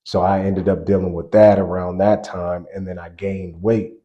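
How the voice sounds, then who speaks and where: A man in his forties, a basketball coach, telling stories in a relaxed interview, laughing often and circling back mid-sentence. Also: sits low, moderate pace, muffled recording. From grew up on a US army base in Germany. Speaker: college basketball assistant coach, guest. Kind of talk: casual interview storytelling.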